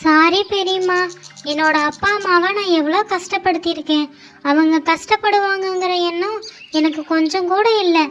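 Woman voicing a very high-pitched, eager little girl. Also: fairly even intonation, normal speed, fairly bright tone. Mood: sorry, earnest. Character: young spoiled playful girl, later sorry and earnest. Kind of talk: children's moral story cartoon dialogue. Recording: music under the voice.

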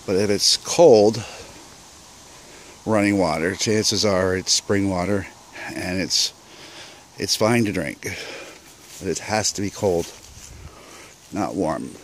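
A middle-aged man from Canada giving a relaxed, unhurried commentary outdoors as he hikes along overgrown rural trails and films on a handheld camera. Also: low-pitched, slight background noise. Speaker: vlogger. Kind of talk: casual walking narration.

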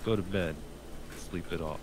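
A man's voice: low-pitched, normal speed, a little hiss or hum, natural voice. A man playing stern, controlling men in a script table read. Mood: scornful. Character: stern, controlling men: a detective, a scornful drug dealer.

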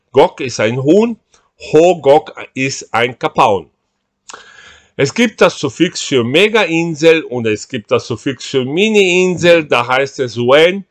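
A man reads his own writing in an unhurried, deliberate way. His delivery is drily humorous and measured, at a middling pitch. He is recorded on a webcam at a desk in a room at home. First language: Portuguese. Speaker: author reading his own text. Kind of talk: reading aloud, switching between languages.

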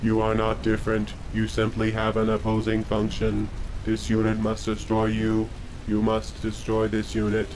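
A man playing an emotionless, menacing combat robot in a comic dub, speaking in a formal monotone. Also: fairly low pitch, normal speed, noisy background, lightly acted. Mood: flat, clinical. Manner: monotone, formal machine-like delivery. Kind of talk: scripted comic dub acting.

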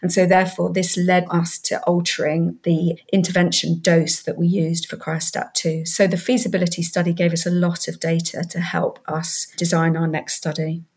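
Even, low-pitched British woman. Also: normal speed, hardly any pauses, breathy edge. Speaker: physician researcher, interview guest. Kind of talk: explanatory interview answers.

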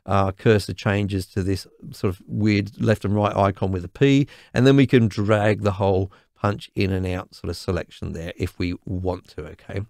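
A man with a slightly croaky voice explains music software in a relaxed, chatty way, often checking in with "okay?". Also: deep voice, normal speed, hardly any pauses, no room sound, clean studio sound. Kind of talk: screen-recorded software tutorial.

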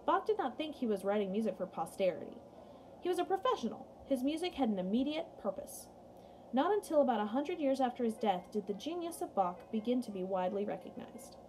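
A woman's voice: on the high side, warm tone, a little hiss or hum, low-quality recording. A young woman teaching music in a read-aloud lesson for children, explaining and chatting directly to her pupils. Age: young adult. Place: small indoor room at home.

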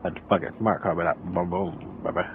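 A young man on headset voice chat, excitable and cursing a lot while playing an online game. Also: sits low, brisk, vocal fry, narrow, tinny audio, slight background noise. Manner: excitable, swears often. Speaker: gamer. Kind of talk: casual gaming chat.

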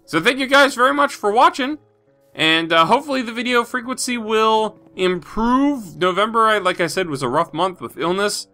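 A man's voice: high-pitched, animated, normal speed, pushed and bright, soft background music. Stuffy-nosed man rambling over gameplay. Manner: rambling, thinks aloud with many fillers. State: stuffy from a cold. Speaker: Minecraft video host. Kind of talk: casual gameplay commentary.

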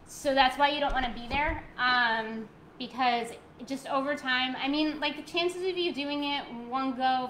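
Woman, 30s, yoga instructor streaming from home; bright, slightly high voice, gentle cues turning to bubbly chat. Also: a little hiss or hum, poor audio. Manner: soft, slow guided cues, then bubbly rambling chat. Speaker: yoga teacher. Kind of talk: live-streamed yoga class, chatting with viewers. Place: living room at home, indoors. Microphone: laptop mic, webcam framing.

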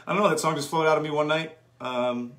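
A man in his thirties, a singer-songwriter, talking about his songs in a relaxed, soft-spoken way with many fillers, recorded on a phone at home. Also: fairly high pitch, fast talker, echoey room. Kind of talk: live acoustic performance with spoken song introductions. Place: home room indoors. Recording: loud acoustic guitar under the voice. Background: his own acoustic guitar.

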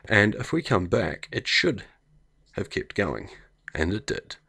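Low gravelly New Zealand man, chatty. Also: very animated, slow, bright, forceful tone. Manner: chatty, thinking aloud while clicking through menus. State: slightly croaky voice. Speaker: gameplay video host. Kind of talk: casual let's play commentary.

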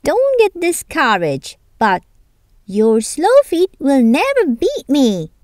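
Boastful, teasing male hare for a children's fable, played by a woman; very slow, high delivery. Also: huge pitch swings, darkish tone, no echo, exaggerated voice. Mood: taunting. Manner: taunting, proud, exclamatory. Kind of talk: children's storybook read-aloud.

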